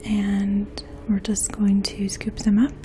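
A woman in her twenties speaking in a soft, hushed, breathy voice, gently explaining things as a makeup artist in an ASMR role play. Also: mid-range voice, takes it slowly. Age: 20s. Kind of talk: ASMR role play, addressing the listener as a client. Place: small indoor room. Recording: faint steady outdoor hum. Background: distant lawn mower.

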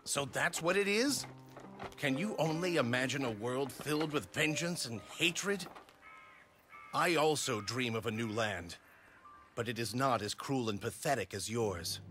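A noble warlord with a mid-pitched voice and a solemn, earnest delivery. He is an idealist weighed down by sorrow, in video game cutscene style, with music clearly behind him. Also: expressive, unhurried, a bit bright. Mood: vengeful, impassioned. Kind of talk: English-dubbed video game cutscene acting.

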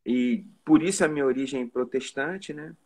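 A man's voice: medium pitch, normal speed, breathy edge. A man in his forties with a Carioca accent from Rio de Janeiro, a musician guest chatting casually about his life, often tagging his sentences with "né?". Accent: Carioca Portuguese. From Rio de Janeiro, Brazil. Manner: relaxed storytelling with many "né?" tags. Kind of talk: casual live video chat. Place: indoor room at home. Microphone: phone or webcam on a video call.

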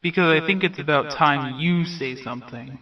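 A man voicing a world-weary, sardonic skeleton brother in a comic dub, with a hesitant, deadpan drawl. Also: on the high side, normal speed, far from the mic, muffled recording, close to an everyday voice. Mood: sarcastic. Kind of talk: comic dub character acting.

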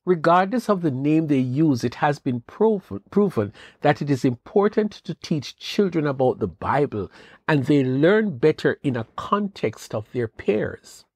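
A man hosting a devotional podcast, giving an earnest, measured Bible teaching with rising questions. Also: fairly high pitch, very animated, darkish tone, husky, no room sound. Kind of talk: scripted devotional talk.

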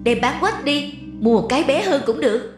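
A woman voicing an earnest, polite young man in an animated moral story. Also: high-pitched, moderate pace. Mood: worried, musing. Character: earnest young man, spendthrift son learning independence. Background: background music.

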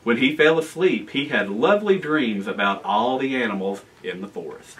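An American man in his forties reading a children's storybook aloud in an animated, expressive way. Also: medium pitch, moderate pace, distant mic, slight background noise, rough recording. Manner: animated, expressive reading with asides to young listeners. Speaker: guest storyteller, town official. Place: library room with bookshelves, indoors.

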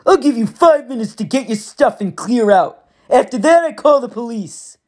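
A woman's voice: a little high, lively intonation, normal speed, darkish tone, pitched above the performer's own voice. Woman doing a snarling bully stepfather. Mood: sneering. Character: gruff bullying stepfather, loud and sneering.

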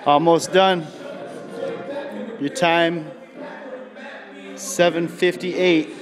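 A man's voice: fairly high pitch, little pitch movement, very slow. A man coaching in a gym, counting reps aloud and encouraging his client, recorded on a camera mic. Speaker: personal trainer. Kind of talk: coaching and commentary. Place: gym studio, indoors. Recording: camera audio in a large echoing gym with music.

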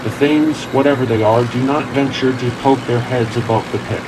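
A man's voice: mid-range voice, steady tone, moderate pace, lightly acted. Man acting a confident army captain, noisy background. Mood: confident, official. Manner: official, self-assured. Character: confident, brisk army captain reporting from the field. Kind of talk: radio play acting. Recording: clear vehicle-like background noise.

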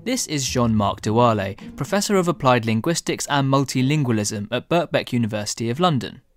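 A man's voice: mid-range voice, expressive, brisk, no echo, faint music behind. Man presenting a radio science show. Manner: conversational, laughs easily.

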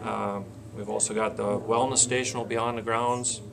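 A man in his forties reading out an event schedule as an interview guest, plain-spoken and halting. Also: on the low side, level tone, creaky, a loud crowd. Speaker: county fair board president, interview guest. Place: small indoor meeting room, seated at a table. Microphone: camcorder a short distance in front.

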